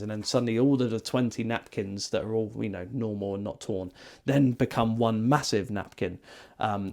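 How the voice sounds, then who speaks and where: A man's voice: lowish voice, creaky, darkish tone. A man casually recapping an event in a rambling way, with many ums and self-corrections. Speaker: magician reviewing a convention.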